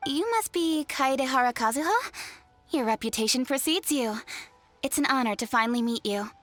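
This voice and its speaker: A woman's voice: high-pitched, animated, moderate pace, fairly bright tone, dry recording, soft background music. A woman voicing a poised, dutiful young noblewoman official, formal and composed, in an anime game dub. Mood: courteous.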